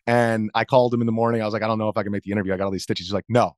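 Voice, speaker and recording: Low-pitched man, casual interview guest. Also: fairly even intonation, racing, no echo, studio quality. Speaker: interview guest, video journalist. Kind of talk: casual conversational interview answers.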